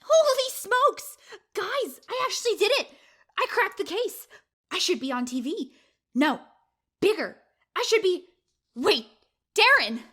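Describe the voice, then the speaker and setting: A brash young woman sleuth in an audio drama, played by an actress, with a high, bright voice that swings widely. She is self-important and theatrical, quick to boast and to accuse. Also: very animated, exaggerated voice. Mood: elated.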